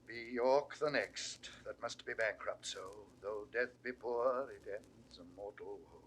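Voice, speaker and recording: A man's voice: lowish voice, unhurried, a bit bright. An actor playing a loyal, long-suffering older nobleman, pleading earnestly in 1960s Shakespearean audio drama style. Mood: mournful. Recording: noisy vintage audio drama recording.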